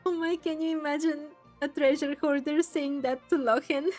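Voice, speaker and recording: Female gaming host: higher register, casual running commentary over game music. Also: fairly even intonation, normal speed, darkish tone. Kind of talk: casual let's-play commentary.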